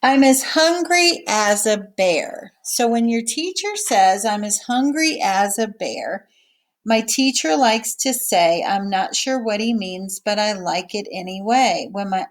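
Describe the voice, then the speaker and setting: A woman teacher reading a picture book aloud and explaining it simply and casually to children, often checking that they follow. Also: medium pitch. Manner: explains simply, checking in with okay and all right.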